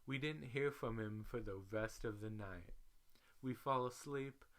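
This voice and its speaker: A man giving a dramatic, comedic storytelling read, animated when he quotes people. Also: mid-range voice, measured pace. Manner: animated, exclamatory in quoted lines. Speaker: reader.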